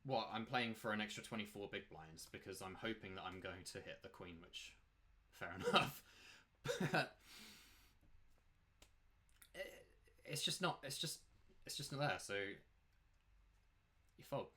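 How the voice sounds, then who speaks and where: A man's voice: on the low side, lively intonation, measured pace, pauses often, bright, forceful tone. A man giving casual, rambling live commentary as he plays poker, emphatically repeating points and rhetorical questions. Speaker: poker player and coach commentating his own play.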